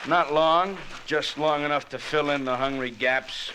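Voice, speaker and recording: A man's voice: on the high side, fairly even intonation, a little slow, sharp tone. An American actor playing a menacing, gloating young gunman in an old radio crime drama, with a taunting, slangy delivery. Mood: cocky menace. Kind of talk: 1940s-50s radio crime drama acting. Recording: noisy vintage radio recording.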